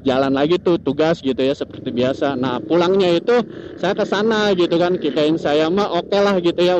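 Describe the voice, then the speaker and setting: A man, chattily telling a story to viewers in a casual motovlog, with repeated tag phrases. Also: on the high side. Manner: chatty, repetitive tag phrases, chuckles often. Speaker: motovlogger host. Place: outdoors, riding a motorcycle in traffic. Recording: muffled, loud vehicle and road noise.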